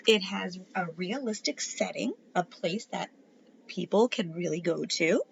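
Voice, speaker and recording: A woman reading a children's book aloud like a teacher, in an expressive, deliberate and instructive way. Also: medium pitch, vocal fry, lo-fi sound, slight background noise. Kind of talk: animated read-aloud narration for young children.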